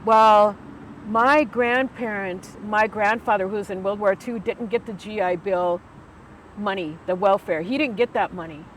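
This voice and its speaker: A woman's voice: mid-range voice, measured pace, slight background noise, low-quality recording. A woman in her sixties, an elder talking earnestly and from the heart, with many fillers and restarts. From United States. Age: 60s. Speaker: Ojibwe elder and guest. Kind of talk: conversational storytelling.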